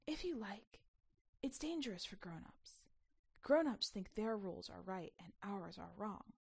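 A woman voicing a mysterious girl from another world, calm, measured and firm about rules, in a storybook reading. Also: medium pitch, vocal fry, slightly rough, no echo, heavily acted. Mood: calm, inviting.

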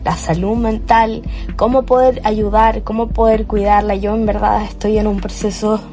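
A chatty young Chilean woman hosts her own podcast, rambling happily and improvising as she goes. Her voice sits at an ordinary pitch, and soft music plays faintly behind her through a modest home microphone. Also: flat delivery, normal speed. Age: young adult. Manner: chatty and cheerful, with fillers and restarts. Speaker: podcast host. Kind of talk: improvised solo podcast monologue. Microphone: cheap home microphone.

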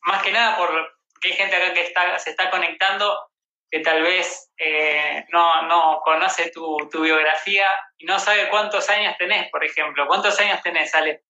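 A man's voice: on the high side, steady tone, moderate pace, sharp tone. An Argentine man hosting a casual livestream interview. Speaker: host interviewing a guest. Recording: echoey room, dull livestream audio.

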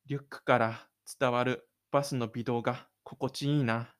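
A man's voice: mid-range voice, little pitch movement, moderate pace, clean tone. A man reading scripted literary narration for an audio drama.

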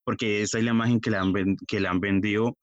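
A man's voice: on the low side, fairly even intonation, fast, dry recording. A man with a southwestern Colombian accent, chatting casually as a podcast guest. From Puerto Tejada, Colombia. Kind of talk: casual chat. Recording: dull, lo-fi, dry sound.

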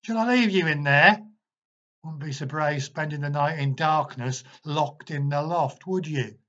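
A man's voice: fairly high pitch, sing-song, measured pace, darkish tone, slightly rough, voice pushed up, heavily acted. A man voicing an irritable, bossy grown man who barks raised, hectoring questions. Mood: taunting. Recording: dull, muffled recording.

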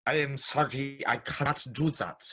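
An actor playing a polite, fussy, apologetic clock repairman in a radio drama, with a husky, hesitant, formal delivery. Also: medium pitch, moderate pace, fairly bright tone. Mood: fussy, wary. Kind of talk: vintage radio drama acting.

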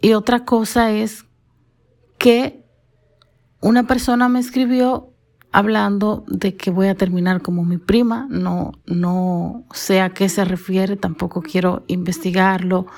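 A woman from the Dominican Republic hosts her own podcast, speaking unhurriedly on her own. Her diction is careful and formal, polished by training in broadcasting, and her pitch sits in the ordinary middle range for a woman. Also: level tone, unhurried, darkish tone. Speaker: podcast host. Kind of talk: solo podcast monologue.

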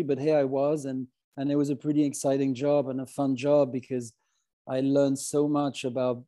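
A man's voice: mid-range voice, dark tone. A middle-aged man from French-speaking Switzerland telling his own story as an interview guest, in a hesitant, reflective, conversational way. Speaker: guest, company founder.

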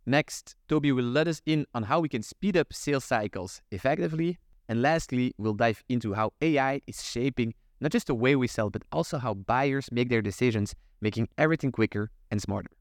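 A man's voice: medium pitch, fairly quick, few pauses, no echo, studio quality. A man hosting a podcast, reading an introduction and then asking loose, rambling questions. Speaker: podcast host.

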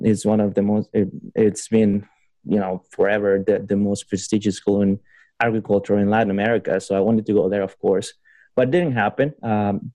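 Low, mellow-voiced man from Honduras. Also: dry recording. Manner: rambling, many fillers and restarts, laughs often. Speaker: podcast guest, PhD student. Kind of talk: casual interview.

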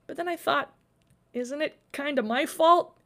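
A woman's voice: high-pitched, a little slow, fairly bright tone, pitched above the performer's own voice. A woman voicing a sincere, slightly awkward, friendly boy in a story. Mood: apologetic.

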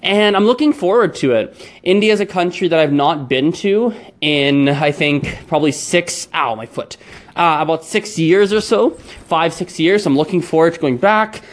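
A man's voice: fairly high pitch, moderate pace. A man hosting a podcast in casual chat, talking into a phone while getting ready and then driving in a car, rambling as he goes. His first language is Gujarati. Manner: rambling, talks while on the move. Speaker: podcast host.

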